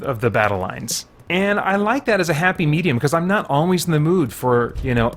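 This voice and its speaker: A man's voice: medium pitch, a bit bright. A man reviewing a video game, giving casual, conversational commentary and thinking aloud as he plays. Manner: conversational, thinking aloud, mild slangy exclamations.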